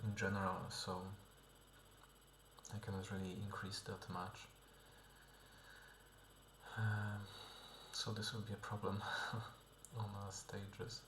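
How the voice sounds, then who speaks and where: Very low, gravelly-voiced man in a vlog. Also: flat delivery, slow, long pauses, echoey room, noisy background. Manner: hesitant, many fillers and restarts, often whispery. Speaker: vlogger and musician. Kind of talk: casual spoken diary.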